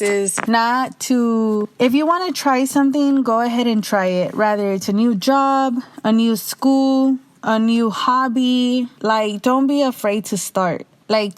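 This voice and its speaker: A young woman with a raspy voice hosting her own podcast, talking loosely and casually, swearing freely, a little tipsy. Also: fairly high pitch, dry recording. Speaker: podcast host. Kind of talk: casual solo monologue.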